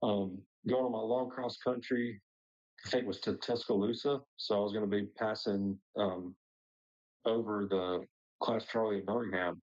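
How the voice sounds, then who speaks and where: Low-pitched man telling a casual story. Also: fairly even intonation, moderate pace, darkish tone, lo-fi sound. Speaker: pilot, discussion participant.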